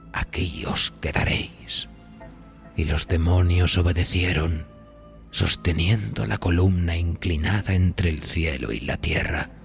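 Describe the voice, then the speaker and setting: Deep gravelly male horror podcast narrator. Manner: solemn, literary, deliberate storytelling. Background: music bed.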